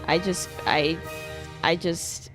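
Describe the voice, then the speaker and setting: A woman's voice: lowish voice, fairly even intonation, slow. A young woman giving casual, playful live gameplay commentary as a streamer, reacting in exclamations. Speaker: game streamer. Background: game music.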